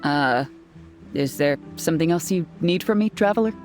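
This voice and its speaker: Brisk, lively female investigator. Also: deep voice. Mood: dismissive. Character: brisk female investigator, defensive under accusation. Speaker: investigator character. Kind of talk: video game story voice acting. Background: faint music.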